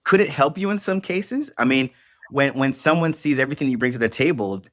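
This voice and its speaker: A man's voice: mid-range voice, animated, a little fast, no room sound, clean studio sound. A man hosting a podcast interview, encouraging and upbeat, often repeating words as he starts a thought. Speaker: podcast host.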